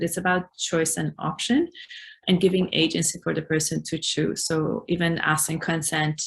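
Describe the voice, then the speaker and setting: Woman, Farsi first language, low gravelly voice, earnest webinar presenter with many fillers. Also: slight background noise. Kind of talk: webinar talk.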